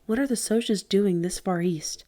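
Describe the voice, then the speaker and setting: A woman voicing a frightened, quick-tempered teenage boy in a spoken story. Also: mid-range voice, dark tone, close to an everyday voice. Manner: tense, quick to anger. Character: frightened teenage boy gang member, narrator.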